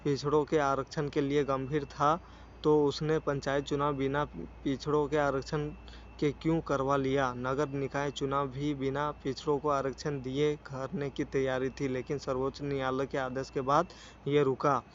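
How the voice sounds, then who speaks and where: A man's voice: medium pitch, level tone, moderate pace. A man reading a scripted news report in a formal way, stumbling now and then over words. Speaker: news channel narrator. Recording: dull lo-fi sound with faint noise.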